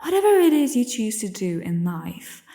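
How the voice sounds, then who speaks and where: A woman playing a proud, formal witch academy headmistress giving a measured audio drama speech, with echo. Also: fairly high pitch, very animated, dark tone. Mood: proud, ceremonial. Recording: echoey, reverberant hall sound.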